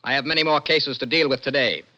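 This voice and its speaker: An actor with an American accent playing a cold, formal young lieutenant judge of a dystopian court, in 1960s radio drama style. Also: male voice, medium pitch, fast talker, sharp tone, natural voice. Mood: cold, unbending. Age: young adult. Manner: cold, formal, clipped pronouncements. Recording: dull old-time radio recording.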